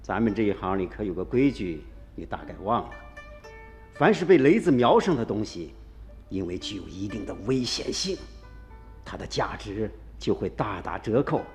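A man's voice: lowish voice, very animated, moderate pace, darkish tone, faint music behind. An actor playing a cautious, shrewd male antiques dealer bargaining hard in a radio drama. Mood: wary.

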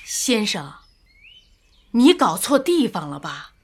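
A woman's voice: medium pitch, sing-song, slow, a little hiss or hum. An actress in a radio drama playing an earnest, emotional female laundry owner, polite but spirited. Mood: polite, defensive. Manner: earnest, emotional, indignant when pressed.

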